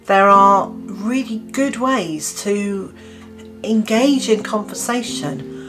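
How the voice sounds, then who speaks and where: A woman's voice: medium pitch, expressive, takes it slowly, fairly bright tone, soft background music. A British woman in her fifties, a gardening channel host, giving an earnest opinion piece to camera with hesitant restarts and fillers. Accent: British English. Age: 50s.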